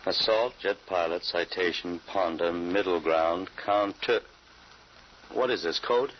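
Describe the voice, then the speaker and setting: A man's voice: deep voice, measured pace. An actor playing a cautious, doubting middle-aged father in an old-time radio drama, hesitant and questioning. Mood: doubtful, tempted. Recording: muffled, noisy old radio recording.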